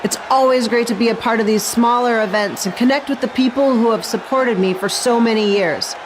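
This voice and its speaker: A woman's voice: a little high, a little fast, noisy background, close to an everyday voice. A woman playing a friendly, gracious veteran wrestling star in a video game cutscene.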